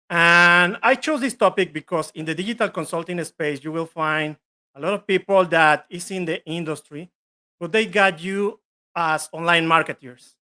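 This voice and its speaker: Bright-voiced man with a Mexican accent. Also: high voice. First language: Spanish. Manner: earnest, self-correcting, list-like delivery. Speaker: business trainer. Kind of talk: live online training.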